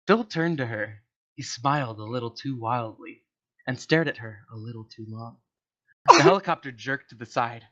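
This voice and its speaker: Male amateur storyteller, mid-pitched, stumbles and corrects himself, lo-fi recording. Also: big pitch swings, normal speed, fairly bright tone. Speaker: reader of a comedic story to friends in a voice channel. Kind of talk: amateur dramatic story narration. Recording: dull lo-fi voice chat audio.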